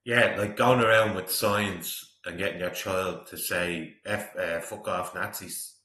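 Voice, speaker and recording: A man's voice: low-pitched, fairly even intonation, a little slow, sharp tone. A man, likely middle-aged or close to it, giving casual, opinionated off-the-cuff commentary as a disapproving reaction host. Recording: roomy, echoey sound.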